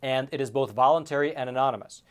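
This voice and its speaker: A man's voice: medium pitch, fast, clean tone. A man hosting a scripted news commentary show, speaking emphatically with conversational asides to the viewers.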